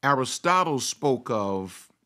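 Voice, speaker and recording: A man's voice: mid-range voice, expressive, takes it slowly, bright, forceful tone. A man preaching a sermon. He repeats his phrases for emphasis, asks rhetorical questions and at times speaks as if he were a confident woman. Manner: speaks in the first person of a confident woman to make his point. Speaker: pastor.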